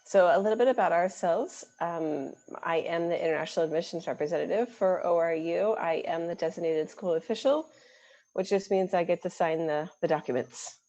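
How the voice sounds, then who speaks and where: Low, mellow-voiced American admissions woman. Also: brisk, lo-fi sound. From United States. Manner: warm, encouraging, with frequent "um"s. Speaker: international admissions representative. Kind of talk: webinar presentation.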